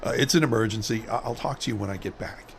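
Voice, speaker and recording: A man's voice: fairly low pitch, fast, vocal fry, a little hiss or hum. A man playing a restless shelf stocker who stammers and hesitates. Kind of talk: amateur radio drama acting.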